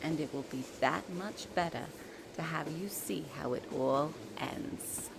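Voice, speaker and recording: An actress playing a smug, villainous magical creature in an audio drama, with a mocking, silky, taunting manner. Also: female voice, low voice, unhurried, gravelly, noisy background. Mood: gloating.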